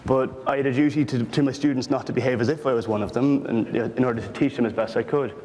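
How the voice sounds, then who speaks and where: Irish man, panel speaker, earnest, halting restarts, mid pitch, long hall reverb. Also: steady tone, a little mellow, slight background noise. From Ireland. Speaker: panel speaker, political researcher. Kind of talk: panel discussion.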